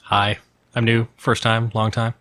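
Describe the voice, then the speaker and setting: A man with a fairly low but bright, forward voice chats as a tabletop game player. He is conversational and dryly joking as he describes things. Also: little pitch movement, a little slow. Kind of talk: casual tabletop game chat.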